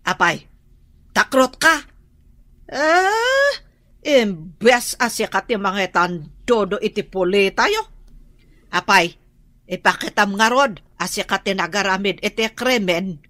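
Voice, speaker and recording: A vengeful mother of a feuding clan in an Ilocano radio drama. She is pushy and scolding, goading her son in a mid-pitched voice, recorded dry and close. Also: expressive, slow, pauses often, bright, forceful tone, no echo. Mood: goading, scornful.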